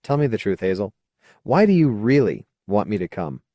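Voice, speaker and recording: A man's voice: lowish voice, sing-song, normal speed, dark tone, no echo. A man voicing a wary, awkward, questioning male character in an audiobook. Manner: hesitant, questioning. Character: wary, awkward man, skeptical former idealist.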